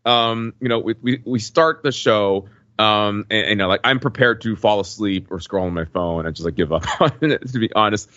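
Low-pitched American man, slightly dull lo-fi mic. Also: normal speed, a bit bright, no room sound. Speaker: podcast panelist. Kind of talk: casual conversational recap.

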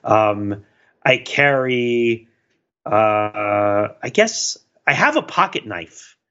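A man co-hosting a podcast in casual, rambling chat, talking in an animated, self-deprecating and digressive way. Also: fairly low pitch, extremely slow, dull audio. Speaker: podcast co-host.

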